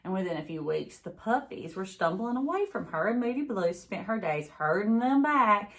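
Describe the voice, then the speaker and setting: A woman giving an expressive read-aloud narration of a children's novel. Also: medium pitch, normal speed. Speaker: teacher reading a novel aloud.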